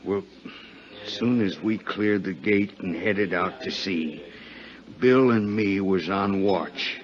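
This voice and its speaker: Low-voiced, rough, frightened old-radio sailor. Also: male voice, slow. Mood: confiding, uneasy. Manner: rough uneducated sailor's speech. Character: frightened, hard-drinking able seaman, ship's carpenter. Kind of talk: 1950s American radio drama acting. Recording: muffled 1950s radio recording.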